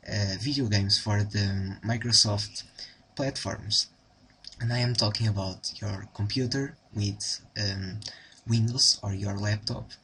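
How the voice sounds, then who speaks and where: A man's voice: lowish voice, bright, forceful tone, slight background noise, low-quality recording. A young man from Portugal with a Portuguese accent, giving a casual tutorial with a hesitant delivery full of ums and restarts. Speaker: tutorial host.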